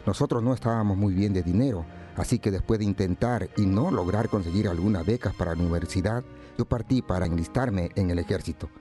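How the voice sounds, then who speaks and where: An actor playing a grown man who looks back on a hot-tempered youth, narrating a scripted radio drama in a measured, reflective way. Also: deep voice, warm tone, soft background music, natural voice.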